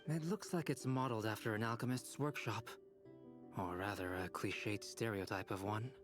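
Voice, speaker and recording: An actor playing a calm young male alchemist in a game, speaking in a measured, analytical way. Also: fairly low pitch, close to an everyday voice. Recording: game audio with clear music behind.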